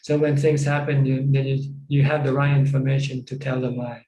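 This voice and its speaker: A man with a Thai accent giving informal Buddhist teaching on a video call, repeating phrases in halting fashion. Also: mid-range voice, completely flat delivery, warm tone. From Thailand. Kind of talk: informal Dhamma teaching with questions. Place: Zoom call. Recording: roomy, echoing video call.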